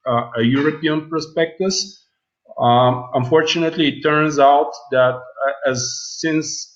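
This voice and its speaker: A man giving a business presentation on an earnings call, speaking haltingly with long pauses. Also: medium pitch, muffled recording. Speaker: company presenter.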